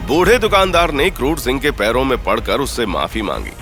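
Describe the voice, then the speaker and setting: A male storyteller delivers dramatic scripted narration. His mid-pitched voice swings widely in tone, suspenseful and theatrical, over clearly audible music. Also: sing-song, a little fast, a bit bright.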